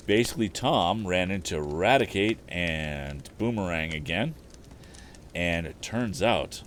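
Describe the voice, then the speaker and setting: A man's voice: low-pitched, slight background noise. A man hosting a livestream, chatting casually and thinking aloud between stretches of book reading. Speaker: storytime livestream host and reader. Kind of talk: casual stream chat and book narration.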